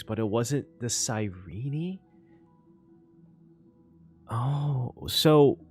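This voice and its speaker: A man streaming a reaction to a game's story, thinking aloud and getting excited when his guesses prove right. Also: mid-range voice. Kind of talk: casual reaction commentary.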